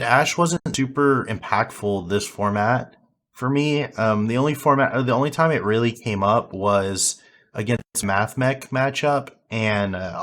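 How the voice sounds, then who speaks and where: A hoarse young man, having lost his voice, casually reviewing his card game deck with rambling fillers and restarts. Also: on the low side, fairly bright tone.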